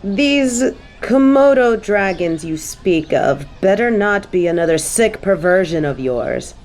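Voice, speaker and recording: A woman playing a snobby but secretly soft fallen angel in a scripted audio roleplay, with prim, formal phrasing. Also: mid-range voice, expressive, unhurried, natural voice. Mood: haughty, suspicious.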